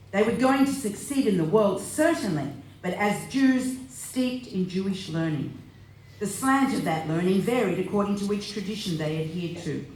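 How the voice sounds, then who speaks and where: A woman's voice: mid-range voice, darkish tone. A woman narrating a scripted stage reading, recorded live in an echoey hall with slight noise.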